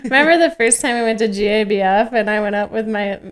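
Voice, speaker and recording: A woman's voice: medium pitch, fast, clear, resonant tone. A woman co-hosting a podcast, reading a script and chatting casually, giggly and playful with joking asides. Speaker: podcast co-host. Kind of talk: scripted table read mixed with casual banter.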